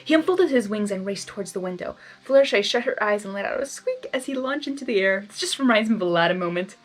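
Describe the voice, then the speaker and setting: A woman reading fan fiction aloud expressively, breaking into chatty, giggly, excitable asides. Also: mid-range voice, fast. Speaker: story reader.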